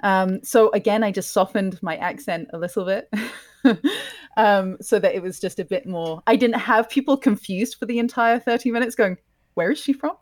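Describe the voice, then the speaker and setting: A woman from Scotland with a mixed, mostly English accent, speaking softly in a lowered tone as a guest in a casual interview, laughing often. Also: normal speed. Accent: mixed, mostly English with some Scottish. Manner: soft lowered tone, laughs often, many ums. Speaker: podcast host, guest.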